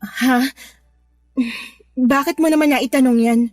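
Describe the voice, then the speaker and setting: A young Filipina mistress in a radio drama, cajoling and money-minded. Her voice is on the high side and she sweet-talks her lover. Soft music runs behind her. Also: a little slow, no echo. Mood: placating. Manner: coaxing, sweet-talking. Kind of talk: Tagalog radio drama acting.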